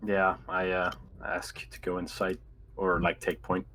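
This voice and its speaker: A man's voice: deep voice, monotone, measured pace, bright, forceful tone. A man chatting casually as a player in a tabletop roleplaying game.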